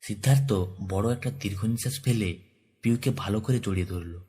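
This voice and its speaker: A man's voice: on the low side, fairly quick. A man with a Bengali accent reading scripted narration for a romantic audio story. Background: soft background music.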